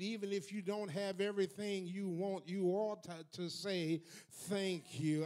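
Older preacher guy on a handheld mic in a church, slow deliberate delivery, gets fired up and repeats himself. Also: high-pitched, darkish tone. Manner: slow and measured, building to fervent rising repetition. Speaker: pastor. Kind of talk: sermon. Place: church sanctuary. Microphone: handheld microphone.

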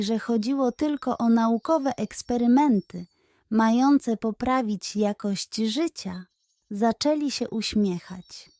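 Female bedtime storyteller for children: high voice, very unhurried scripted narration. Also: mellow, no room sound, clean studio sound.

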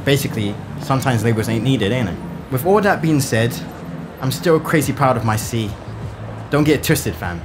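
A man playing a cheeky, excitable teenage schoolboy in a motion comic, with a London accent and slangy, animated delivery. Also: mid-range voice, moderate pace, over music, natural voice. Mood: chatty, self-deprecating. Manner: animated, colloquial. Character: excitable, cheeky Nigerian-born London schoolboy in his teens.

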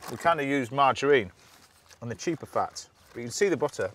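Chatty TV chef outdoors. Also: male voice, medium pitch, lively intonation, brisk, a bit bright, slight background noise. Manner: chatty, instructive, laughs easily. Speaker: TV chef host. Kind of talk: cooking demonstration. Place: outdoors at a campsite.

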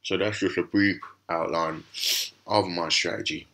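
A man with a very low, raspy voice that sounds hoarse from a cough. His tone is bright and forceful despite the gravel, and he rambles through casual commentary with plenty of fillers and restarts. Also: fairly even intonation, measured pace, low-quality recording. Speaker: crypto channel host. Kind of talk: casual commentary over screen recording.

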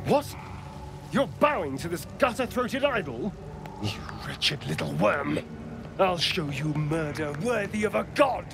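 An arch, bloodthirsty rogue in a fantasy game. His high voice swoops with theatrical wit, over music. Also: very animated, unhurried, creaky, heavily acted. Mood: scornful rage. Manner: theatrical, wry. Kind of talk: fantasy video game acting.

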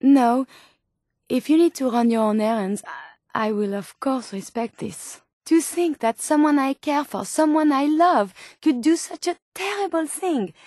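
A high-voiced, tender-hearted robot scientist. She is a video game companion who phrases everything formally and earnestly. The recording is close and dry. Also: animated, a little slow, darkish tone, dry recording. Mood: hurt, indignant. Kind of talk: acted video game dialogue.

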